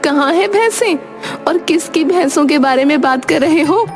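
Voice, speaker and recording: A woman voicing a sharp-tongued young village wife and mother with a dramatic, plaintive manner in an animated folk tale. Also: high-pitched, normal speed, pitched above the performer's own voice. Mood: scolding. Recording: music behind the voice.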